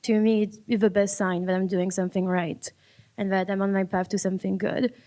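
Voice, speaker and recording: A woman's voice: medium pitch, little pitch movement, brisk, dark tone. A woman from France, speaking reflectively on a public panel and often repeating words as she looks for the next one. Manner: reflective, repeats words while finding them. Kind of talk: panel discussion.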